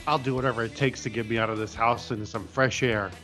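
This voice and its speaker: A man's voice: mid-range voice, fairly even intonation, fast, a bit bright, soft background music, lightly acted. A man playing a mocking, insulting veteran wrestler in a comedic audio play. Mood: impatient.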